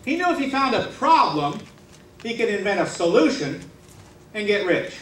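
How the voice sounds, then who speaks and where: Guy doing a funny tall tale, high voice w swoopy pitch, theatrical pauses, echoey room. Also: sing-song, fairly bright tone. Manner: theatrical, mock-serious, with dramatic pauses. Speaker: humorous contest speaker. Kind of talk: comic tall-tale storytelling on stage. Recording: roomy hall, distant mic, faint noise.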